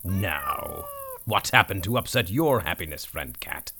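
A man's voice: deep voice, big pitch swings, measured pace, bright, forceful tone, a little hiss or hum, pitched below the performer's own voice, heavily acted. A man voicing a friendly, persuasive old male donkey in a storybook tale. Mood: genial, encouraging.

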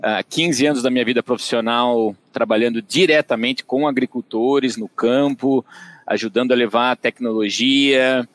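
A middle-aged man from Brazil with a caipira country accent from inland São Paulo. He talks as a guest in an interview at a steady, measured pace, stopping often to find his words. His pitch is in the middle range for a man. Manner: measured, with frequent hesitations. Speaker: guest, company director. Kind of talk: conversational interview.

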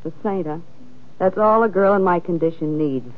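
An actress playing a brassy, hungover tough dame in an old-time radio crime drama, surly and slangy. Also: female voice, on the low side, normal speed, close to an everyday voice. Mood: surly, sardonic. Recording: muffled old radio recording.

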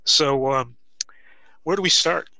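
A man hosting a podcast interview, friendly and halting, with frequent filler words. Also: a little high, creaky, sharp tone, dry recording, poor audio. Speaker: podcast host. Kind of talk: casual interview chat.

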